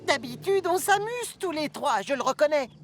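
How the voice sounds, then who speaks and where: A woman voicing an earnest, friendly young tank engine in a French children's cartoon, expressive, with music behind. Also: sits high, moderate pace. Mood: earnest, rattled.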